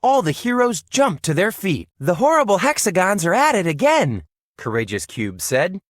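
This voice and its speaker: Male kids' storyteller, higher register, extremely swooping intonation, dramatic delivery, dry sound. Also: moderate pace, no echo. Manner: animated, expressive storyteller delivery. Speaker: children's storybook narrator. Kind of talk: dramatic scripted storytelling for children.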